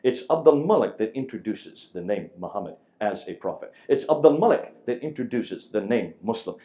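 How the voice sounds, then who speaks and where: A man giving an emphatic lecture to a church audience, with a very loud voice, firing rhetorical questions at his listeners. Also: medium pitch, muffled recording.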